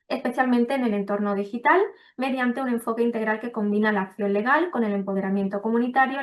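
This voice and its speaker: A woman moderating an online event, introducing speakers in a friendly, hesitant way. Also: a little high.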